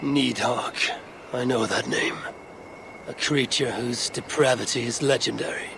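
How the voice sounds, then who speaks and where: Serious determined viking-type game hero, gravelly medium voice, kinda formal, noisy ambience behind. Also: male voice, medium pitch, steady tone, a little slow, a bit bright. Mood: stern, resolute. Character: stern, determined male warrior adventurer in Norse underworld. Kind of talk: video game character acting.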